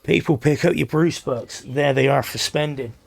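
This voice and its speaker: A hoarse man, commentating a competitive video game match with excited, swearing energy. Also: medium pitch, normal speed. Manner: energetic, swears freely, laughs often. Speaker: esports shoutcaster.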